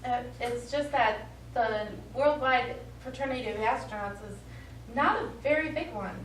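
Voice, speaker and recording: A direct astronaut crewmate played by a female stage actor speaks in a slightly high voice. It is live play dialogue, picked up from a distance with plenty of echo. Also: moderate pace, close to an everyday voice. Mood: explanatory. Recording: echoey hall, distant mic, faint noise.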